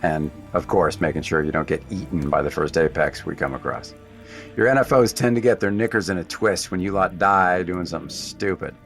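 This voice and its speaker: An actor playing a seasoned, world-weary hunter guide in a sci-fi audio drama, speaking in a measured, dry, authoritative way. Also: male voice, deep voice, soft background music. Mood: commanding. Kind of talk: audio drama acting with narration.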